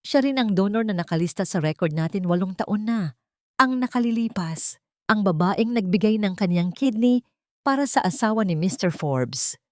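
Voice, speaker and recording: A woman's voice: mid-range voice, expressive, moderate pace, no room sound, studio quality, pitched above the performer's own voice, lightly acted. A woman voicing an earnest, serious female hospital nurse in an audio drama, with a sincere, grave manner. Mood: urgent astonishment.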